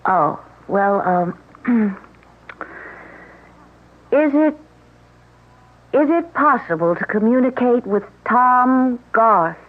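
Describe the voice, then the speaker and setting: A timid, halting woman slowly asks questions of a spirit. Her slow, hesitant voice comes through a thin, tinny old radio broadcast. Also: a little high, lively intonation. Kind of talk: 1970s radio drama acting.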